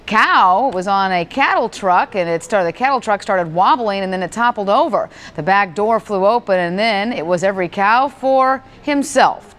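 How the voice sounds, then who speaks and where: A woman news anchor reading scripted stories in a TV broadcast. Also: a bit bright. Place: TV news studio. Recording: faint low background noise, 1990s TV broadcast.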